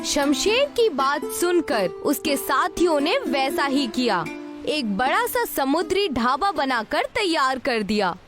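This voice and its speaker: A woman's voice: high-pitched, sing-song, slow, over music. A woman narrating a scripted story in a storytelling manner.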